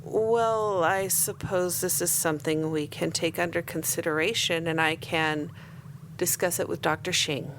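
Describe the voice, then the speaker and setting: A woman's voice: on the low side, fairly even intonation, a bit bright, a little hiss or hum, poor audio. A woman voicing a firm, formal spaceship crew member with authority, in a tabletop game. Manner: measured, formal, commanding.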